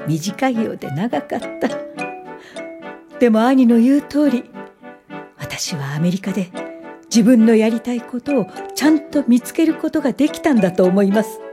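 An actress playing an older woman recounting her life in a radio drama, reflective and measured. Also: medium pitch, natural voice. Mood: resolute, nostalgic. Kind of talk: scripted first-person radio drama narration.

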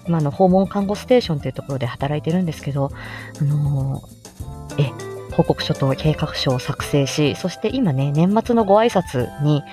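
A woman's voice: low voice, expressive, moderate pace, mellow, soft background music. A woman rambling casually to her listeners as a stream host, giggly and self-deprecating. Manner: giggly, self-deprecating, laughs often at herself.

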